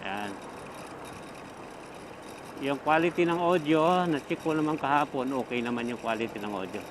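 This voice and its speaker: A man's voice: mid-range voice, normal speed. Filipino man vlogging over road noise. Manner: unscripted, rambling, thinking aloud. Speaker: motovlogger. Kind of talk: casual riding vlog, chatting to viewers. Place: outdoors, riding a motorcycle on the highway. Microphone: microphone inside the helmet intercom. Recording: steady road and vehicle noise. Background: traffic and motor noise.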